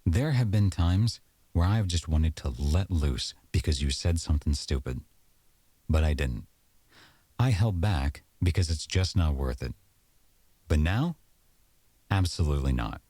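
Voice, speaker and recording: A man's voice: extremely low pitch, expressive, unhurried, pauses often, warm tone, slightly rough, no echo, exaggerated voice. A man playing an aggrieved, sarcastic boyfriend arguing at home in an audio roleplay, accusatory and exasperated. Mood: indignant. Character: aggrieved, sarcastic boyfriend in a heated domestic argument.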